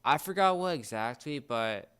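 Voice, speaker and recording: A young man co-hosting a podcast in casual chat, often halting as he searches for words. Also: medium pitch, lively intonation, a bit bright, smooth. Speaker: podcast co-host. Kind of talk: casual chat about entertainment.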